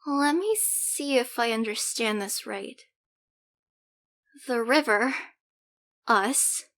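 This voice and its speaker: A woman acting as a cheeky young alien girl in a fantasy audio drama. Her voice sits high and she takes her time over the lines. She is teasing and expressive, impulsive and openly doting. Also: slow. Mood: puzzled, teasing.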